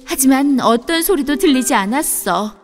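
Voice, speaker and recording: A woman narrating a scripted children's fairy tale in an expressive storybook manner. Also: sits high, moderate pace, music in the background.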